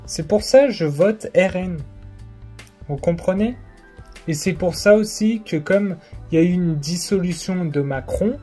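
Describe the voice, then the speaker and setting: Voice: medium pitch, warm tone. A young adult talking casually to camera, giving an insistent opinion in colloquial speech full of hesitations and fillers. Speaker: political vlogger. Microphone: phone or camera facing the speaker.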